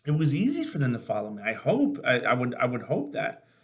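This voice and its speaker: Male host, mid-pitched, ex-army sergeant type; informal and sincere storyteller who leans on his points in relaxed conversation. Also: brisk.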